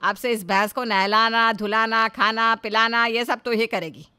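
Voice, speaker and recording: Woman playing a sharp-voiced nagging mother-in-law. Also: on the high side, steady tone, moderate pace, clear, resonant tone. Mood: fretful, nagging. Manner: nagging, exasperated, theatrical. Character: fretful, scolding middle-aged mother-in-law. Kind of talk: animated family story acting.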